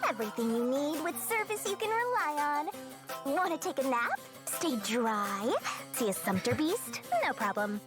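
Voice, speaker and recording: A woman voicing a small, greedy, cheerful young female merchant with a theatrical sales pitch in a video game trailer. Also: big pitch swings, normal speed. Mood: salesy, peppy. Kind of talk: animated video game character trailer performance. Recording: loud music behind.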